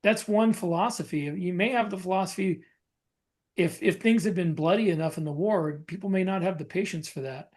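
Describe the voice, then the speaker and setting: A man co-hosting a podcast, talking casually and haltingly as he pieces thoughts together. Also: high voice, a little mellow. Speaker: podcast co-host.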